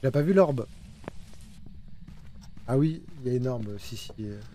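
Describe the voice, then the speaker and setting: A man commentating his own live game stream with a warm, mellow voice. He talks urgently and repeats himself while directing his teammates. A faint hiss sits under the voice. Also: medium pitch, animated, a little slow. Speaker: streamer playing a ghost-hunting game.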